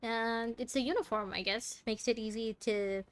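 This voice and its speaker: A woman's voice: on the high side, steady tone, moderate pace, clear, resonant tone. A woman streaming a video game, giving casual, reactive commentary as she muses informally on what she plays. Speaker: gaming streamer. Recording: game music behind the voice.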